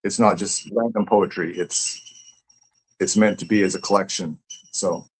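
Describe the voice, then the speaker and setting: A man speaking casually as an interviewed poet, reflective and halting as he searches for his words. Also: deep voice, fairly even intonation, husky, rough recording. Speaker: poet and author being interviewed. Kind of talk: casual conversation about own writing. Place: live online stream.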